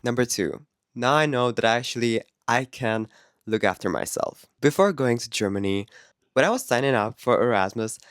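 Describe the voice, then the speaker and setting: A man's voice: medium pitch, expressive, moderate pace, clear, resonant tone, dry recording, studio quality. A man with a Czech accent, whose first language is Czech, talking to camera in a chatty, candid vlog with frequent laughs and self-corrections. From Czech Republic. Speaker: vlogger. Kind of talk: casual vlog talk to camera.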